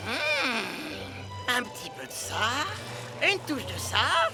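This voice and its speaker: An eccentric cartoon inventor with a high, swooping voice. The fussy genius mutters theatrically to themselves under loud music. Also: female voice, wildly swooping pitch, slow, heavily acted. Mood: pleased, absorbed. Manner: theatrical muttering and self-talk.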